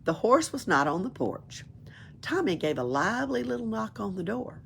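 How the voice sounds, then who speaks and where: A woman reads a children's book aloud in an expressive storytelling way. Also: mid-range voice, normal speed, creaky, a little hiss or hum. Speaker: library storyteller.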